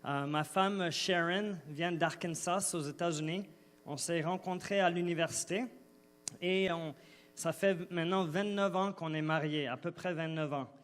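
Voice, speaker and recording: A man in his forties with an Ivorian French accent, preaching a sermon in a relaxed, hesitant way with many fillers and restarts. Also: fairly high pitch, measured pace. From Ivory Coast. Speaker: guest preacher.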